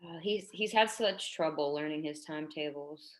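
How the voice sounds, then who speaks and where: A woman's voice: deep voice, moderate pace, natural voice. A woman playing an earnest, tactful schoolteacher in her twenties in a staged drama reading, speaking hesitantly and delicately. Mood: awkward, delicate.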